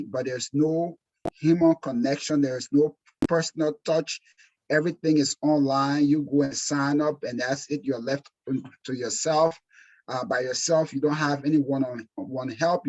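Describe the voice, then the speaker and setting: A man's voice: fairly high pitch, darkish tone, lo-fi sound. A man with a Liberian accent presenting a webinar course as instructor, speaking earnestly and emphatically and repeating phrases for stress. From Liberia, West Africa. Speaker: insurance exam course instructor.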